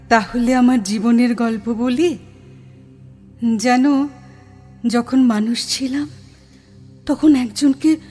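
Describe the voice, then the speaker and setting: A breathy, wistful female ghost. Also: high voice, a little mellow, full character voice. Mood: confiding. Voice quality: sweet-voiced, breathy. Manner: slow, plaintive, dramatic pauses. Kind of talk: Bengali audio horror drama acting. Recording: music under the voice.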